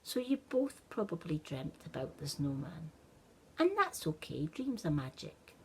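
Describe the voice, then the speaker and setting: A tender storybook mother played by a woman narrator. She speaks slowly and soothingly, gently reassuring a child. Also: sits low, big pitch swings, a little hiss or hum, voice pushed down, full character voice, low-quality recording. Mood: soothing, doubting.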